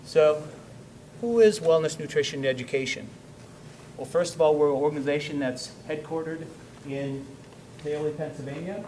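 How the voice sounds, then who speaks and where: A loud-voiced middle-aged man giving a business presentation at a meeting, with frequent fillers and "okay?" check-ins to the room. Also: on the high side, normal speed, slight background noise, rough recording. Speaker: wellness program presenter. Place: school district meeting room.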